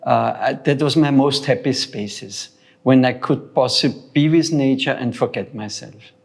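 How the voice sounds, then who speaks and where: Man in his sixties, from Austria, reflective, hesitant, restarts often, mid pitch. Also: measured pace, creaky. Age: 60s. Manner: thoughtful, hesitant, many fillers and restarts. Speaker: guest, founder of a sound center. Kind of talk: reflective interview talk.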